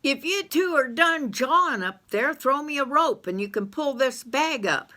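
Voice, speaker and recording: A woman's voice: high voice, expressive, measured pace, sharp tone, voice pushed up, full character voice. A woman voicing a blunt, defensive grown man who answers curtly. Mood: bossy.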